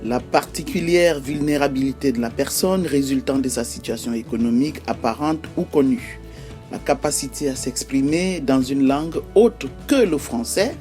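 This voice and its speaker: A woman with a Cameroonian accent hosting an explanatory vlog, listing examples one by one in a measured way with frequent hesitations. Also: extremely low pitch, warm tone. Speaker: YouTube host.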